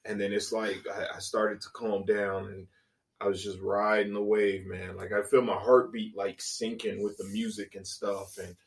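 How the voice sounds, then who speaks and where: A man's voice: lowish voice, little pitch movement, normal speed. A man, a podcast guest, telling a story in casual chat, acting out his own reactions and swearing freely. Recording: voice a bit distant from the mic.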